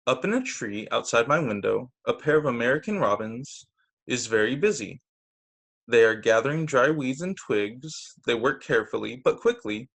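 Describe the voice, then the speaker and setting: A man teaching young children through a read-aloud storytime on a video call, with expressive, deliberate phrasing. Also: mid-range voice, creaky. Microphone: video call microphone.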